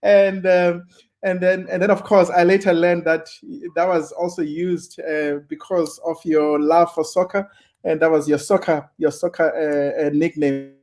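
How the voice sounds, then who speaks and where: Stammering male interviewer, high voice. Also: flat delivery, measured pace, a little mellow. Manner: stammering, with many repeated words while forming questions. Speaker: interview host. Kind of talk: casual interview questions.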